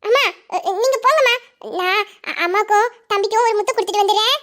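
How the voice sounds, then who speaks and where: A woman's voice: very high-pitched, fast, fairly bright tone. A woman voicing a sweet, affectionate little daughter with an eager childlike manner in an audio drama. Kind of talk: melodramatic Tamil audio drama.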